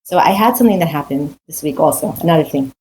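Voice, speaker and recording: A woman's voice: deep voice, lively intonation, quick pace, darkish tone, slight background noise, poor audio. An adult woman teaching a weekly Torah portion in a casual, earnest way, with frequent restarts and rhetorical questions. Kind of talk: casual spoken Torah talk.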